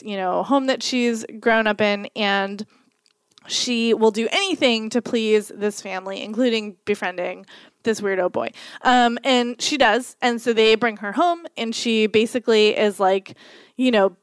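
Chatty croaky woman podcast host. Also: a little high, no echo. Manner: chatty, rambling, many fillers. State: croaky from a cold. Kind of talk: casual book-review chat.

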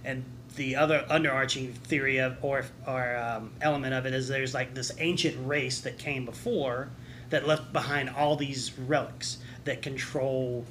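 A man explaining things casually in a group discussion, halting and often repeating his words as he goes. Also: mid-range voice, fairly even intonation, fairly bright tone, slight background noise. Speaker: podcast co-host.

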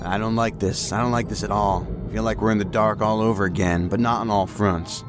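An actor playing a skeptical counterterrorism agent in an audio drama, blunt, sardonic and impatient. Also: male voice, on the low side, fairly even intonation, a little fast, music in the background, natural voice. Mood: uneasy. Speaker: federal agent.

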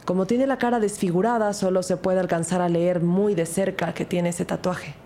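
A woman playing a young, earnest detective who narrates and questions in a measured, uneasy way in an audio drama. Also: lowish voice, fairly even intonation, slight background noise, natural voice. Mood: serious, inquiring. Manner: measured, serious storytelling. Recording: loud music under the voice.

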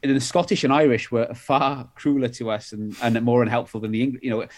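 A man's voice: mid-range voice, level tone, brisk. A middle-aged man from Wales, an author guest in a conversational interview, talking in long rambling runs with many restarts and fillers.

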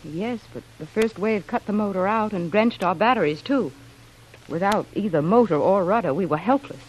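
A woman's voice: medium pitch, animated, moderate pace, lightly acted. An actress playing a plucky shipwrecked wife in a vintage radio drama, telling her tale earnestly. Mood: earnest storytelling. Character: plucky shipwrecked young wife spinning a cover story. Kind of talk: 1940s radio acting. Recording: noisy vintage radio recording.

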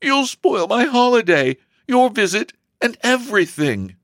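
A man's voice: a little high, very animated, moderate pace, a bit bright, dry recording, clean studio sound, pitched above the performer's own voice. A man voicing an agitated, dramatic and nervous young woman in a storybook reading. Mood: tearful pleading.

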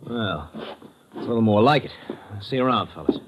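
An actor playing a defiant, cagey American pilot for hire in a 1950s radio drama, blunt and slangy. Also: male voice, fairly low pitch, animated, normal speed, close to an everyday voice. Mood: wary. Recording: noisy, muffled old radio recording.

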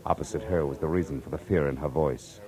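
An actor playing a tough, wry private detective in an old radio drama, with a terse, wisecracking delivery. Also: male voice, very deep voice, quick pace, darkish tone. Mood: grim, wry narration. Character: hard-boiled, wry male private detective. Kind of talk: 1940s radio drama acting and narration. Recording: noisy old radio recording.

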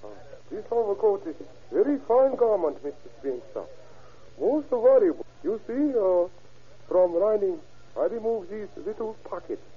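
High-voiced, halting foreign dealer. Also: male voice, expressive, breathy edge, narrow, tinny audio. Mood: hushed, careful. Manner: halting, formally polite, broken English. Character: wary, deferential male foreign drug dealer. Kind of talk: 1940s radio acting. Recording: old radio recording, thin, faint noise.

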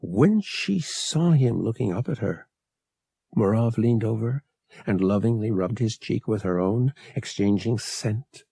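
A man reading an adventure novel as an audiobook narrator, in a measured, deliberate and dramatic storytelling style. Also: fairly low pitch, expressive, creaky, warm tone, husky, dry recording.

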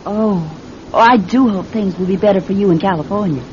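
A woman acting in an old-time radio drama as a naive, lovestruck young woman, earnest and halting in her delivery. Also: darkish tone, close to an everyday voice. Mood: shy, smitten. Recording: muffled, noisy old radio recording with rumble.

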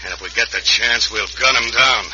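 A man playing a rough, bossy, hot-tempered outlaw boss with an American accent in an old radio western. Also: mid-range voice, a little fast, dull audio, lightly acted. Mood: plotting. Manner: rough, bossy cowboy drawl talk. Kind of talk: 1950s radio western acting. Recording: noisy, band-limited old radio recording.

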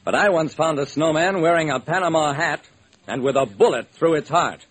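A man's voice: a little high, normal speed, natural voice. An actor playing a hard-boiled private detective, narrating his case with dramatic, wry deliberation in old-time radio style. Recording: noisy old radio recording.

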